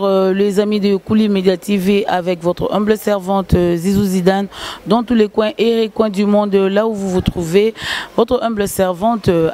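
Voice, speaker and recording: A woman's voice: medium pitch, mellow. A woman hosting a television interview in a church hall, talking with a handheld mic and many fillers. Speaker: TV host.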